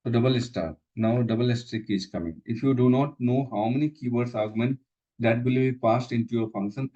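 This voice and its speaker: A man walks through code in a lesson, halting and repeating himself with frequent fillers. His voice sits at an ordinary middle pitch. The sound is slightly dull and lo-fi, like a screen recording. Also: mellow. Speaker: instructor. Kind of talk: live coding lesson walkthrough.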